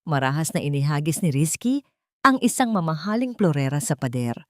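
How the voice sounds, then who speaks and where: A woman narrator with a slightly low voice reads a romance drama. Her delivery is scripted and dramatic, and the recording is very dry and close. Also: sing-song, a little fast, darkish tone, dry recording. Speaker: story narrator.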